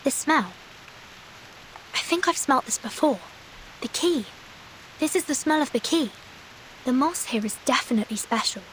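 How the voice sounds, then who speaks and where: A woman voicing a calm, determined young female investigator in a video game, terse and measured. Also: sits high, slight background noise, low-quality recording. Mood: focused, dry.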